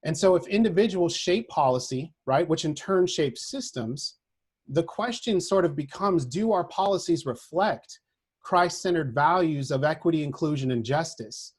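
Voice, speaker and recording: A man speaking as a social work professor, giving a prepared lecture in an earnest, deliberate manner, often checking in with a short tag question. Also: fairly high pitch, lively intonation, breathy edge.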